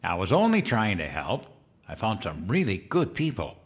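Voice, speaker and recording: An actor playing a childlike, eager, guileless president-elect in a comedic audio drama. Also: male voice, fairly low pitch, huge pitch swings, normal speed. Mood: naive, eager. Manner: guileless, simple-minded, earnest talk.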